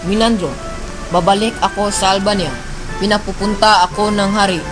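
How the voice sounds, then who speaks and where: A young man acts out a brave Filipino army general in a radio drama. His voice is high for a man, and his delivery is formal and courteous, with music playing behind him on an amateur recording. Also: fairly even intonation, moderate pace, lightly acted, poor audio. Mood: resolute. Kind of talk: Tagalog radio drama acting.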